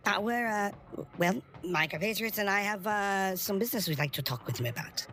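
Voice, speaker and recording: A woman plays a well-mannered smuggler and swoop driver in a tabletop space adventure. The delivery is eager and chatty. The pitch moves around in a lively way. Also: a little fast, lightly acted. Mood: polite. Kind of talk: tabletop role-play in character.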